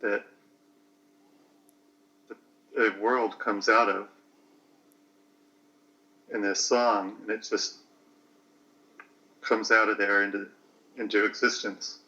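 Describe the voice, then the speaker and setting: A man in his late forties talking slowly through a personal reflection, hesitant and searching for words. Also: lowish voice, flat delivery, pushed and bright, muffled recording. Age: 40s.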